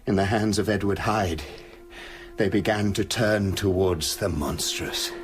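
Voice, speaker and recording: An actor playing a tormented British gentleman scientist confessing his dark side in a brooding, literary radio drama narration. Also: sits low, fairly even intonation, unhurried, music in the background. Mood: confiding, darkly amused.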